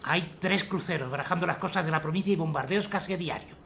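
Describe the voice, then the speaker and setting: A man's voice: on the high side, fast talker, gravelly, a bit bright. A man playing a bitter, weary army colonel in an audio drama, emphatic and exasperated. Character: bitter, weary Republican colonel losing hope. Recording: faint noise with clicks.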